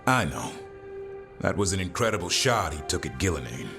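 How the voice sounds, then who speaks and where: A man's voice: low-pitched, sing-song, normal speed, music in the background. An actor voicing a wry monster-hunting warrior in a video game, a man searching for purpose. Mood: easygoing.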